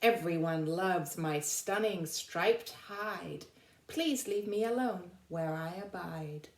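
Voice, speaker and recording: A woman voicing a vain, beautiful tiger in slow, stately verse from a children's picture book. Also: fairly low pitch. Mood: proud. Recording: roomy, echoing sound.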